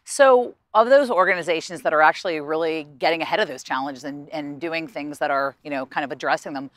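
A woman's voice: sits low, fairly quick, fairly bright tone, no room sound. A woman in her thirties hosting a walking interview in a park, eager and enthusiastic as she asks her questions. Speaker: host. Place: outdoors in a park.

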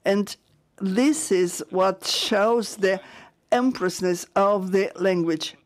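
A woman interpreting a panel discussion live, halting and searching for words with frequent restarts. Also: medium pitch.

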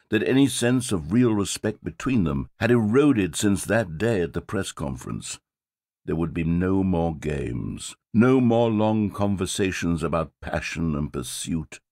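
A man's voice: low-pitched, darkish tone, no echo, heavily acted. A man voicing a sardonic, theatrical game narrator, recorded in a studio. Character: sardonic, theatrical male storyteller.

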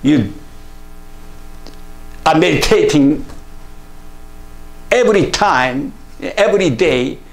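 An older male pastor with a Korean first language delivers a sermon in a plain studio, speaking very slowly with a gravelly, fairly high voice. He leans hard on each phrase and drops in hallelujahs and amens as he goes. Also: animated, faint music behind, low-quality recording. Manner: slow, emphatic preaching with frequent hallelujahs and broken English. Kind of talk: sermon to camera. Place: plain studio room, indoors.

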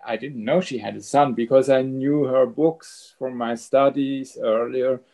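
A man's voice: medium pitch, steady tone, a little slow, gravelly, dark tone. A middle-aged man with a German accent, a film director telling a story on a video call. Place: home room with bookshelves. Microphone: laptop webcam mic on video call.

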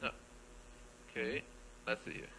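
A man's voice: measured pace, background noise. A man doing casual gameplay commentary, muttering to himself in short fragments. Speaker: gamer playing and commenting. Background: game music.

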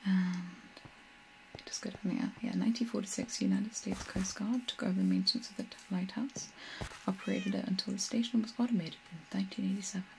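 A woman's voice: medium pitch, steady tone, brisk, warm tone, a little hiss or hum, low-quality recording. Whispering young woman, ASMR game commentary. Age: young adult. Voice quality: breathy, mostly whispered. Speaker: video game streamer and tour guide.

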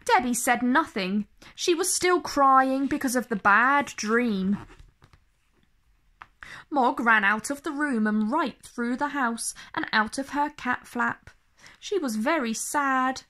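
A woman reading a children's story aloud in an expressive way, phrasing each line clearly and deliberately for young listeners. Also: high-pitched. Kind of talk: slow, expressive picture-book read-aloud.

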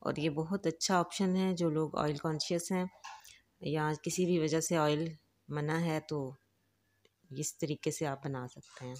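A woman hosting a home cooking channel, giving calm, instructive step-by-step recipe narration. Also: sits low, unhurried. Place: home kitchen.

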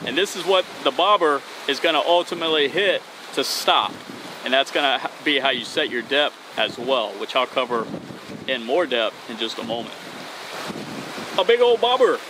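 A man in his thirties hosting an outdoor fishing tutorial, talking casually and upbeat as he works with his hands on a bridge over open water. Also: high voice. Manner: upbeat, casual, talks while tying knots. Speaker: fishing channel host. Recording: outdoor recording with wind and water noise. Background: wind and waves.

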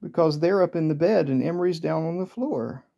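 A parent chatting casually with a small child in a bedroom, gently coaxing with simple questions. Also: medium pitch, fairly quick, creaky, muffled recording. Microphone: handheld phone behind the camera.

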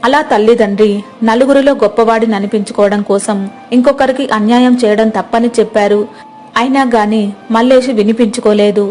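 A woman tells a moral folk tale at a steady, measured pace. Her voice sits a little high, even and clear. Faint music plays behind her. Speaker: narrator. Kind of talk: storybook narration.

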